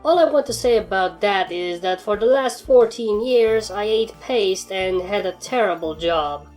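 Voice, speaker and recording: A woman voicing a world-weary alien turned pony in a dry, deadpan, matter-of-fact way for a fan fiction reading. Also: mid-range voice, unhurried, natural voice. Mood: dry, resigned. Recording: music behind the voice.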